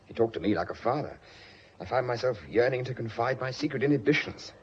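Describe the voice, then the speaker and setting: Man acting teasing British gent, radio drama, lively intonation, medium pitch, arch, flippant, muffled sound. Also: a little fast, a little hiss or hum, natural voice. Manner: arch, jaunty, mock-grand. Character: flippant, sardonic gentleman, breezy and teasing.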